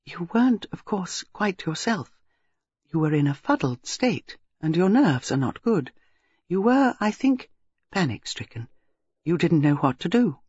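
Reader voicing a prim, softly husky old spinster. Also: mid-range voice, sing-song, dry recording, muffled recording. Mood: gentle, probing. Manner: measured, grave, quietly vexed. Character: prim, shrewd, gentle elderly spinster.

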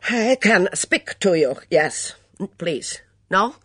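A woman voicing an excitable young foreign housemaid who speaks loud, theatrical, broken English. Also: lowish voice, slow, breathy edge, no echo, studio quality, pitched above the performer's own voice, heavily acted. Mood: dramatic.

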